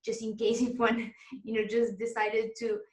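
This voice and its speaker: A woman's voice: a little high, little pitch movement, moderate pace. Woman interviewer, first languages Arabic and French, encouraging casual chat, echoey room. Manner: chatty, encouraging, laughs often. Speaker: interview host and exam tutor.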